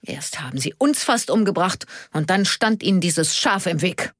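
A woman's voice: on the low side, lively intonation, moderate pace, a bit bright, dry recording, clean studio sound. A woman voicing a grumpy, hot-headed older male retired police officer, complaining and impatient, in an audiobook. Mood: outraged. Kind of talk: audiobook character dialogue.

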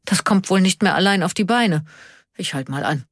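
A woman's voice: on the low side, animated, normal speed, dry recording, studio quality. A woman voicing a sensible, cautious older man who reasons plainly and reluctantly, in an audiobook. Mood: firm, sensible. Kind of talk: audiobook character dialogue.

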